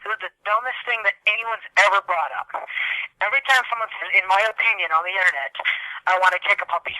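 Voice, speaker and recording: A man's voice: high-pitched, quick pace, sharp tone, dry recording, rough recording. A young man co-hosting a podcast, ranting casually and comically in an exasperated, hyperbolic, profane way. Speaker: podcast co-host.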